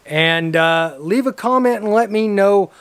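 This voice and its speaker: A man's voice: high-pitched, measured pace, a bit bright, slight background noise. An American man in his fifties giving a casual vlog-style product review to camera outdoors. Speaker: radio hobbyist vlogger and reviewer. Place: outdoors in a driveway by a vehicle, some parts inside a car.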